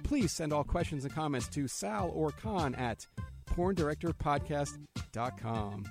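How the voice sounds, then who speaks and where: A man's voice: on the high side, expressive, unhurried, over music. A man hosting a podcast from a studio, brash and joking, swearing freely as he reads out plugs and chats with guests. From New Jersey. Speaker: podcast host. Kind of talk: casual podcast chat with read-out plugs.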